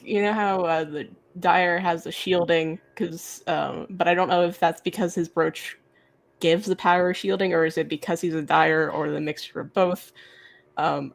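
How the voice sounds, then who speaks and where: A woman with a slightly low voice joins a book discussion over an internet call. She talks casually and chattily, as a participant sharing her thoughts in an informal conversation. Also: vocal fry. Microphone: internet video call.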